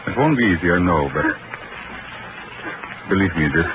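Composed, formal doctor sleuth. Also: male voice, sits low, lively intonation. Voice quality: measured, formal, lecturing. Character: calm, authoritative male doctor detective. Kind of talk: 1940s radio acting. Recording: dull old radio broadcast with hiss.

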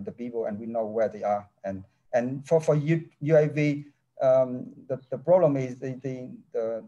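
Halting, mellow-voiced professor on a video call. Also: male voice, medium pitch, muffled recording. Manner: halting non-native English with many restarts. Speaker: engineering professor. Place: office with large window. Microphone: laptop webcam mic on a video call.

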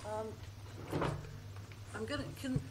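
A woman's voice: on the high side, fairly even intonation, takes it slowly, very noisy. A woman hosting a literary panel on stage, hesitant and full of ums as she thanks the writers and poses questions. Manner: warm-hearted, hesitant, with many ums. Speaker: host and panel moderator. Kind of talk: moderating a live literary panel. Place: festival stage before a live audience.

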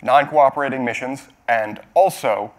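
A man in his thirties answering questions as the speaker at a technical talk, explaining in a halting way with hedges and self-corrections. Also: medium pitch. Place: auditorium stage at a podium.

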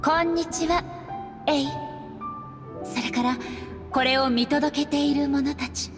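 A kindly, gentle goddess from a video game, high in voice. She talks with affection and a soft feminine grace, with music underneath. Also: takes it slowly, long reverb tail. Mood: serene. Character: gentle, kindly late goddess, loving elder sister. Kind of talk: dramatic game voice acting.

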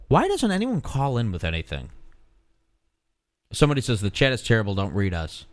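A man's voice: mid-range voice, huge pitch swings, no room sound. A man hosting a live radio show from a small studio, bantering sarcastically with co-hosts and callers. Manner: sarcastic, quick to mock callers and chat.

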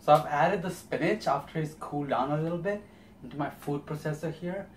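A man's voice: on the high side, brisk, a little hiss or hum. A young man giving a casual, step-by-step cooking demonstration as host in a small home kitchen. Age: young adult. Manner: explains step by step.

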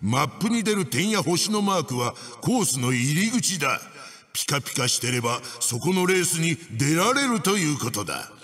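A man's voice: on the high side, big pitch swings, moderate pace, fairly bright tone, long reverb tail. A man playing an evil wooden mask spirit in a video game, commanding and pompous as he barks out racing instructions. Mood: instructing, bossy. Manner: commanding, archaic pompous phrasing. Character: evil wooden witch-doctor mask spirit, bossy and menacing.

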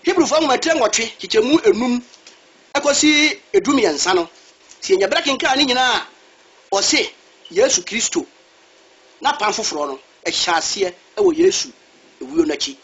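A man's voice: very high-pitched, big pitch swings, normal speed, long pauses, fairly bright tone, husky. A man preaching a sermon who calls on a reader for verses, speaking. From Ghana. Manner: switches between Twi and English, asks a reader to read verses. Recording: dull lo-fi recording.

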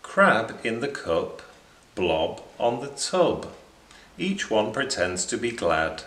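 A man in his thirties reading a rhyming children's picture book aloud in an expressive, measured, dramatic way. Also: lowish voice. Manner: measured, dramatic delivery for young listeners. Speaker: children's storybook reader. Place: small indoor room beside bookshelves. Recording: roomy indoor echo.

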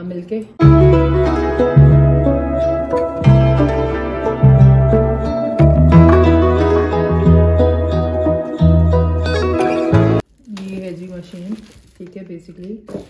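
A young woman, chatting casually to her phone camera for a vlog and mixing in English phrases. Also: fairly low pitch. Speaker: vlogger. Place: outdoors on a bus in city traffic. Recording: loud traffic noise behind.

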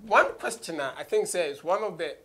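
A man's voice: high voice, lively intonation, moderate pace, fairly bright tone. A man in his thirties with a Ghanaian accent, hosting a casual conversational interview. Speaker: radio show host, interviewer. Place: small indoor studio.

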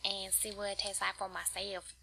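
A woman's voice: medium pitch, flat delivery, moderate pace, creaky, sharp tone, a little hiss or hum. A young woman co-hosting a casual pool game video, giggly and prone to whispered asides. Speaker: gamer co-host. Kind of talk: casual gaming chat.

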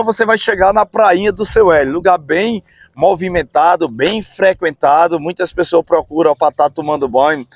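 A man, hosting a casual outdoor vlog from a bridge and filming himself on a phone at arm's length, talking in an upbeat way with drawn-out phrases. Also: high-pitched, dry recording. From Brazil. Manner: upbeat, drawn-out phrases, frequent "hein, pessoal?" tags. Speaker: channel host. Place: outdoors on a road bridge over a river. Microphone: phone camera at arm's length.

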